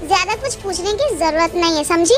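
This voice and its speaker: Woman playing a prickly young wife, extremely high voice, sarcastic, sulky, jealous, romance storytelling. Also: lively intonation, a little fast, over loud music. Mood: jealous, snapping.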